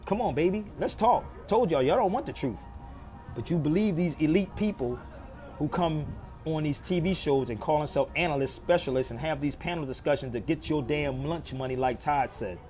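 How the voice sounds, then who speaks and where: A man's voice: fairly high pitch, normal speed, dark tone, faint music behind. A man hosting a livestream, talking to his viewers in an emphatic, preachy way and repeating phrases for effect. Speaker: live stream host.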